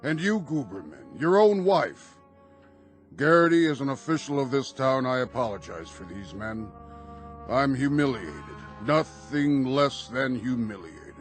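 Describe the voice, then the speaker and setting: A man's voice: medium pitch, very animated, unhurried, faint music behind. An actor playing a solemn, self-important town sheriff who hedges to hide his cowardice, in a radio drama. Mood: indignant, solemn.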